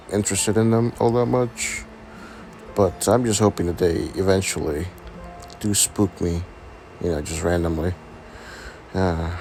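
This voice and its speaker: A man with a raspy voice from being sick, hesitantly walking through his game account in a casual screen-recording chat. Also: deep voice, darkish tone, a little hiss or hum. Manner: hesitant, many ums and restarts. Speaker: gaming channel host.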